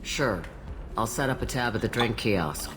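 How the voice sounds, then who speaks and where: Gruff, jaded alien matriarch bartender. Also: female voice, rumbling low, animated, moderate pace, noisy background. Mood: dry, casual. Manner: gruff, blunt, wry. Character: jaded, blunt thousand-year-old alien matriarch bartender. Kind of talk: video game character dialogue.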